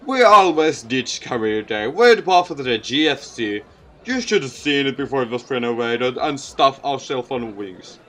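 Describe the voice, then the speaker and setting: A man's voice: medium pitch, animated, normal speed, bright, forceful tone. A man voicing a jovial, earnest mayor from a visual novel, giving an encouraging, folksy public speech.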